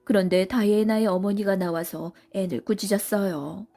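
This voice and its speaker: A woman's voice: medium pitch, moderate pace, warm tone, faint music behind. A woman reading scripted narration for a children's story as a storybook narrator.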